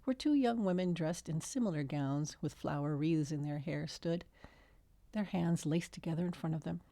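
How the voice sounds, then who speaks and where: A woman's voice: deep voice, normal speed, dark tone, no echo. A woman author reading her own fiction as scripted storybook narration.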